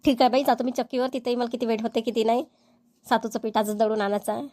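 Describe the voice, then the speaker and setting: A woman's voice: a little high, flat delivery, fast talker, mellow, dry recording, studio quality, natural voice. A woman voicing a nagging, questioning village mother in a cartoon, with a rural Vidarbha Marathi accent. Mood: matter-of-fact. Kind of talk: amateur cartoon comedy-drama dubbing.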